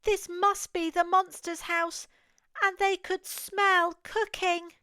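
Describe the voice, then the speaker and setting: A woman with an English accent reads a picture book aloud to young children in an expressive, deliberate storytelling manner. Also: very high-pitched, dry recording. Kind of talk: read-aloud picture book narration for toddlers.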